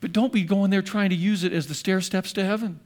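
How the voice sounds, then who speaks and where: A man's voice: high voice, fairly even intonation, fairly quick, a little mellow. A man preaching a sermon as pastor, animatedly voicing the apostle in first person with rising emphasis.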